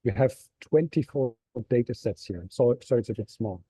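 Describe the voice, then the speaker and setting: A middle-aged man from Denmark giving a relaxed, explanatory webinar presentation over a video call. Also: medium pitch, normal speed, husky, dry recording. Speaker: nonprofit executive director giving a talk. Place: seated on stairs of a train station.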